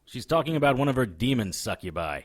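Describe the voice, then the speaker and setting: A world-weary male hero who never wanted the job. His mid-pitched voice moves up and down a lot as he cracks dry jokes. He sounds impatient and exasperated, in audio drama style. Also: very animated, fast. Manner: wisecracking, sardonic, exasperated.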